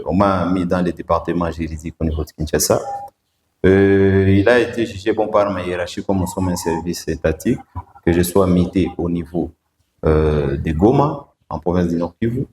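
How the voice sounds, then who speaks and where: A very low-voiced man answers interview questions slowly, pausing often on fillers. His voice rings in an echoey room with a faint hiss of background noise. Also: darkish tone. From Democratic Republic of the Congo. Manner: measured, hesitant, frequent "euh" fillers. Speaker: guest, provincial road-safety director.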